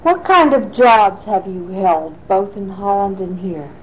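Woman interviewer, very slow measured questions, muffled lo-fi old tape. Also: mid-range voice. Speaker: oral history interviewer. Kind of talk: oral history interview questions. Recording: dull lo-fi 1980s tape recording.

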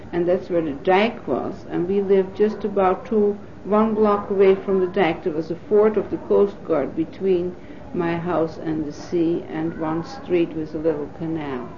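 Dutch-born woman in her fifties, low gravelly voice, pausing often, dull lo-fi 1980s tape. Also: steady tone, slight background noise. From Netherlands. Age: 50s. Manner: thoughtful, pausing with frequent fillers. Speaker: oral history interviewee. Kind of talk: oral history recollection.

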